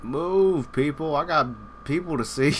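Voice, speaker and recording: A man's voice: fairly high pitch, measured pace, a little hiss or hum. A man livestreaming a game, reacting and chatting casually in an offhand, joking, irreverent way.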